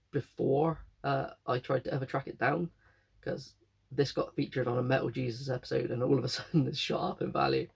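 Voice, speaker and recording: A man's voice: mid-range voice, creaky, slightly rough. A young man with a cold, chatting casually and rambling about his latest finds, often correcting himself. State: croaky with a cold. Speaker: game collector vlogger. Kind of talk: casual chat.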